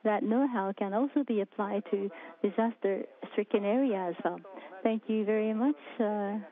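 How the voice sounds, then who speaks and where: A woman interpreting on the fly, her phrasing measured and broken by the odd restart. Her pitch sits mid-range for a woman. The sound is thin and tinny, like a phone line. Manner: halting, with restarts and fillers. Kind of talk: simultaneous interpretation. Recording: phone-like narrow-band feed.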